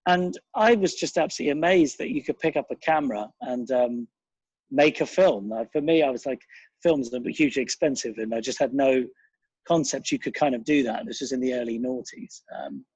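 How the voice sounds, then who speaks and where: Middle-aged man, slightly rough husky voice, rambling anecdotes, thoughtful, dry close sound. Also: medium pitch, a little fast, a little mellow, dry recording, rough recording. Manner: rambling, thoughtful, self-deprecating. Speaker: interview guest, writer, director and actor. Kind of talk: casual reflective interview anecdotes.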